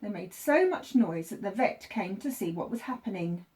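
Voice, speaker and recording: A woman teacher reading a children's story aloud, with expressive, deliberate phrasing for young listeners. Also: mid-range voice.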